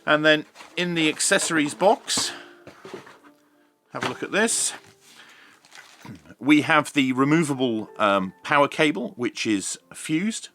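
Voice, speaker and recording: A man's voice: a little high, animated, takes it slowly, pauses often, fairly bright tone, soft background music. A British man presenting an unboxing review as host, with a jokey, tongue-in-cheek manner. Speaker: host of a radio review channel.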